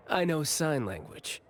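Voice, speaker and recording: An actor playing a playful, teasing senior bureau officer in an anime game, with drawn-out, theatrical delivery. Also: medium pitch, fairly bright tone. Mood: confident, easygoing.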